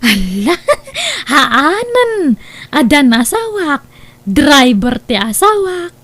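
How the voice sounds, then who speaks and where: A woman's voice: sits high, big pitch swings, extremely slow, fairly bright tone, no room sound, heavily acted. A woman playing a giggly bashful wife. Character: cheerful married woman, driver's wife.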